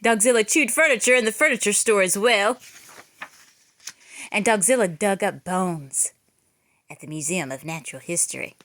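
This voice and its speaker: A woman's voice: medium pitch, normal speed, long pauses, fairly bright tone. A woman reading a children's picture book aloud in a dramatic storytelling style with theatrical emphasis. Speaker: children's read-aloud reader.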